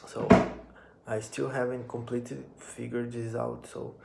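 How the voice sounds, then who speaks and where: A man's voice: mid-range voice, level tone, creaky, darkish tone, a little hiss or hum. A man in his twenties with a Brazilian accent, a musician speaking English as a second language, reading from a note and talking to his phone camera in a personal vlog. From northern Brazil. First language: Portuguese. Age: 20s. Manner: reads from a note, with pauses and restarts. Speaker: musician and drum teacher.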